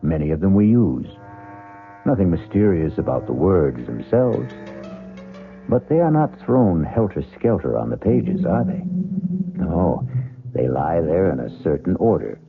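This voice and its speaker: Very deep, gravelly male radio narrator. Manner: deliberate, theatrical rhetorical questioning. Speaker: host and narrator. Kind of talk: dramatic scripted radio narration. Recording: muffled old radio recording. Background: music.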